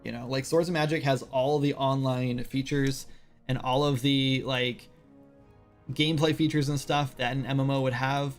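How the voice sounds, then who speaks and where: A man's voice: medium pitch, steady tone, normal speed, faint music behind. A man in his late thirties, chatting casually on a game development livestream in a rambling, self-deprecating way full of fillers. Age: 30s. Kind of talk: casual livestream chat while working.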